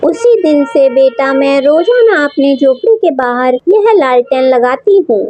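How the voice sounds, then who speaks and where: Cartoon grandma, poor and kindly, sorrowful, earnest, high-pitched female performer. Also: dark tone, dry recording. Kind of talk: Hindi moral-story cartoon dub.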